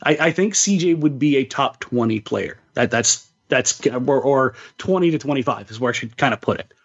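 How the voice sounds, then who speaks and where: A man's voice: medium pitch, lively intonation, normal speed, highs cut off. A man co-hosting a sports podcast, giving casual, opinionated basketball analysis and repeating words emphatically as he reasons.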